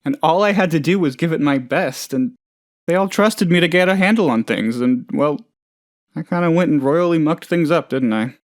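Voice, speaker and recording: A man's voice: on the high side, moderate pace, natural voice. A man voicing a friendly, insecure, gullible plant boss in a video game, chatty and hesitant in an earnest, folksy way. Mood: sheepish, rambling. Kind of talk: video game character voice lines.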